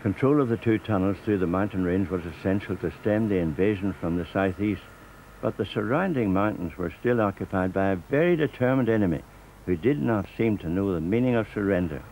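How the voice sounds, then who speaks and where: A man's voice: fairly low pitch, fairly quick, a little mellow, slight background noise, poor audio. Older Northern Irish man narrating. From Northern Ireland. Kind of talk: scripted documentary narration.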